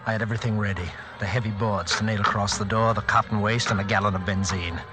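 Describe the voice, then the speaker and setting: A man's voice: fairly low pitch, fairly even intonation, quick pace, lightly acted. A man playing an unhinged, vengeful asylum inmate, intense and theatrical, in a 1940s radio mystery. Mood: grim, recounting. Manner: intense, theatrical, swinging from narration to gloating. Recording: very noisy 1940s radio recording.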